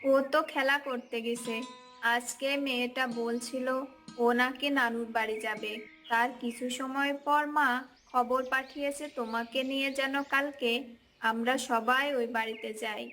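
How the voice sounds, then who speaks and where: A caring yet bossy village housewife played by a woman speaks in a high voice, as in a children's cartoon. She handles home matters like a practical mother, with music playing faintly behind her. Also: fairly even intonation, normal speed, bright, forceful tone. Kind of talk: cartoon fairy-tale dialogue acting. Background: background music.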